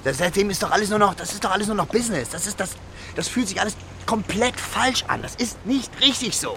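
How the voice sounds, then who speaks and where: An actor playing a burnt-out, foul-mouthed young punk rock singer in a radio play, agitated and stammering. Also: male voice, high-pitched, lively intonation, fast talker, gravelly, noisy background. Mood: despairing. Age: young adult. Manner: agitated, ranting, stammering, crude. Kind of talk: naturalistic radio play dialogue.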